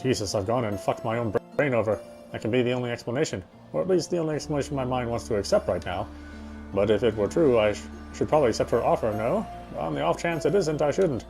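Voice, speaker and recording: Fast, wry British narrator. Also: male voice, mid-range voice, animated, vocal fry, a little mellow, faint music behind. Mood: brooding, confused. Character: wry, self-justifying British husband's inner monologue. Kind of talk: first-person visual novel narration.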